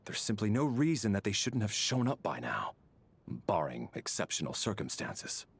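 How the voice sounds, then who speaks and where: An actor playing a stern, impatient military officer in a video game, speaking in a formal, clipped, disdainful way. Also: male voice, lowish voice, sing-song, normal speed, vocal fry, husky, exaggerated voice. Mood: stern, irritated. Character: haughty, impatient Snezhnayan officer, stern and duty-bound.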